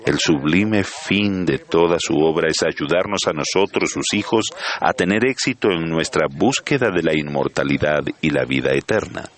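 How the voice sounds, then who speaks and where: Man, extremely low voice, solemn measured sermon. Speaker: church leader giving a sermon.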